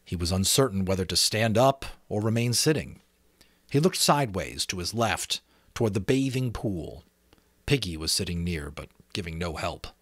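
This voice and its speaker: A man's voice: sits low, expressive, moderate pace, a bit bright, no echo. A man narrating expressively in an audiobook style, as an amateur host with a casual touch.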